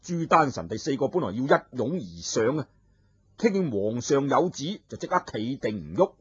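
Husky guy telling a kung fu story, dry close sound, lo-fi dull. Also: mid-range voice, normal speed, no room sound. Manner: dramatic, animated narration. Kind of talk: traditional storytelling narration. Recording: dull lo-fi recording.

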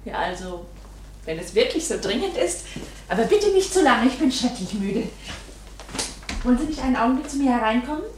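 An actress in a German radio play as a tired, curious young secretary, polite and easily alarmed, breathy and often near a whisper. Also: female voice, fairly high pitch, expressive, brisk, long pauses, slight background noise, natural voice.

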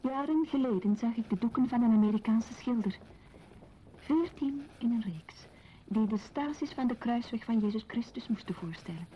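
An actress playing a reflective, intense woman in a literary radio play, earnest, measured and intimate, on a dull recording. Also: a little high, animated, dark tone, a little hiss or hum, close to an everyday voice. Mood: reflective, wondering. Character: reflective, intense woman confiding memories and love.

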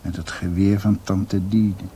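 An actor in a radio drama playing a grave older doctor who tells a dark family history in a halting, somber way. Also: male voice, sits low, level tone, a little hiss or hum.

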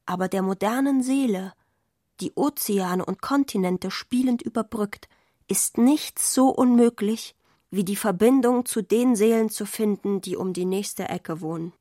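A woman's voice: medium pitch, unhurried, dark tone, dry recording. Female literary narrator, dry close sound. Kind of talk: scripted literary narration for radio drama.

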